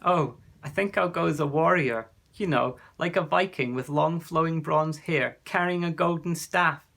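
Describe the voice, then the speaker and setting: A man's voice: on the high side, moderate pace, gravelly. A man reading aloud, voicing a cheerful, polite young schoolboy. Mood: friendly. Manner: lively and friendly.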